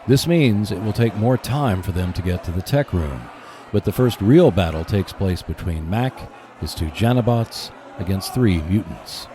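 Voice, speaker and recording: A man's voice: on the low side, lively intonation, normal speed, over music. A man narrating a scripted radio play as host, in a dramatic storytelling manner.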